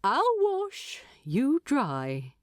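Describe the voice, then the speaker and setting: A fussy little male hobbit from a fantasy audiobook, acted by a woman. The voice is light and lifted, swooping as he scolds with fond, brisk affection. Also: sits high, huge pitch swings, a little mellow, no room sound, studio quality, pitched above the performer's own voice, full character voice. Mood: matter-of-fact.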